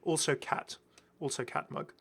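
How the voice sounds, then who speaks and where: British guy doing a brisk lady engineer from a game, all business, mock serious. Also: a bit bright, natural voice.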